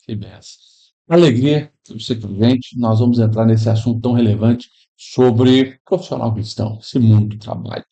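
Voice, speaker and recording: A man's voice: mid-range voice, steady tone, moderate pace, mellow, dull audio. Upbeat man hosting a faith podcast. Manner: upbeat, welcoming, churchy greetings. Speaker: podcast host, pastor. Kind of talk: podcast hosting, warm church greeting. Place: improvised room at a pastors' convention.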